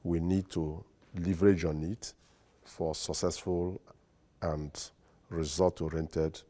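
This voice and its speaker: A man with a Nigerian accent, a lawyer and guest on a studio interview, speaking in a formal, deliberate and emphatic way. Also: deep voice, level tone, warm tone. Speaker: guest, lawyer and secretary general.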